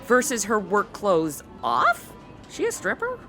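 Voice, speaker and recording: A young woman playing a snarky, excitable modern gal in a radio comedy, gushing and sassy. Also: mid-range voice, a little slow, soft background music, full character voice. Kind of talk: comic narration and banter in modern slang.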